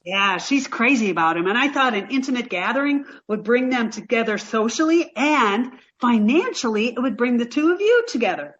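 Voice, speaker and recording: A woman's voice: fairly high pitch, expressive, moderate pace, hardly any pauses, a bit bright, highs cut off. An actress playing a sweet, naive, scatterbrained young girlfriend in 1940s radio sitcom style, doting and earnest. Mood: eager.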